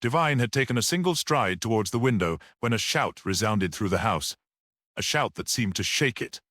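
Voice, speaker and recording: Male audiobook narrator, low register, lively pitch movement, close dry sound, measured dramatic delivery of classic fiction. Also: dry recording. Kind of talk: dramatic literary story narration.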